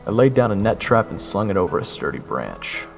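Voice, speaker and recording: Casting: young man, sardonic first-person narrator, gravelly and lively, with faint music behind. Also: lowish voice, brisk, in a large hall. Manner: sardonic, expressive. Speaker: narrator and lead voice actor.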